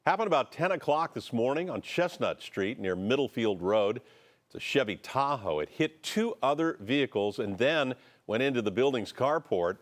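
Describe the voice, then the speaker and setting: A man anchoring the news, reading a story in a steady, matter-of-fact way. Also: medium pitch.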